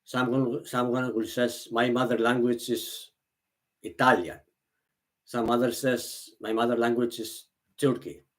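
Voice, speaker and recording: A man whose first language is Greek talks to his viewers in English at a slow, deliberate pace, with a pitch that sits in the middle of the range. He keeps pausing, repeating words and starting his phrases over. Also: fairly even intonation, fairly bright tone. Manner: halting, repeats and restarts phrases, non-native grammar. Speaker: vlogger. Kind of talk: slow, earnest monologue to camera.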